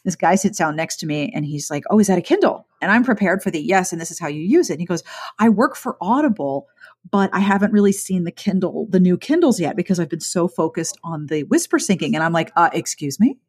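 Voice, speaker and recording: Chatty, lower-voiced woman podcast host. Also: lively intonation, fast, darkish tone, husky. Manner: thoughtful, talkative, frequent restarts. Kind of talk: casual interview chat.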